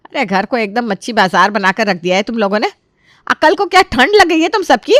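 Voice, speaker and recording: A woman's voice: mid-range voice, normal speed, a bit bright, no room sound, studio quality, lightly acted. A woman voicing a theatrical, exasperated middle-aged Indian mother-in-law in a cartoon story. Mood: furious scolding. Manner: exasperated, dramatic, scolding.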